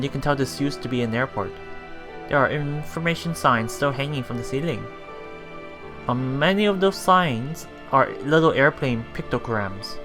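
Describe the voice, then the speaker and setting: A man reading a visual novel aloud as a let's play host, stumbling and rereading lines as he goes. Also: medium pitch. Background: faint music.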